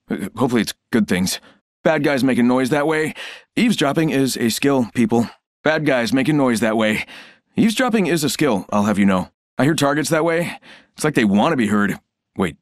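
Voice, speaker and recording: An actor playing a wisecracking, insecure show-off who rambles and second-guesses himself in video game voice lines. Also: male voice, a little high, no room sound, studio quality, close to an everyday voice.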